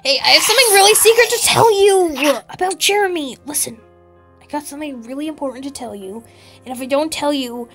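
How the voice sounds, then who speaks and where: Playful female gamer, high bright voice, dramatic improvised roleplay over faint music. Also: animated, fairly quick. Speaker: player in a video game roleplay. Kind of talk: improvised roleplay with friends while gaming.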